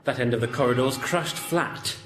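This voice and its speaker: A man playing a calm, sinister, knowing ghost in a radio drama, measured and menacing, drawing out his words. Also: mid-range voice, close to an everyday voice. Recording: echoey, with music behind.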